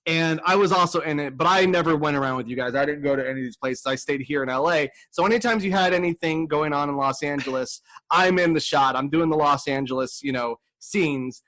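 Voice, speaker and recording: A man's voice: on the high side, a little fast, few pauses, a bit bright, no room sound, rough recording. A man hosting a casual comedy podcast, talking in a goofy, self-deprecating way. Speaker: podcast host.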